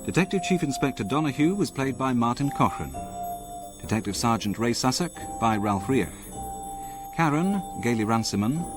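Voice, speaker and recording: A man reading the cast list and introduction for a radio drama in a measured, formal way. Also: medium pitch, expressive, over music. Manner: measured, formal announcer's delivery. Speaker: announcer.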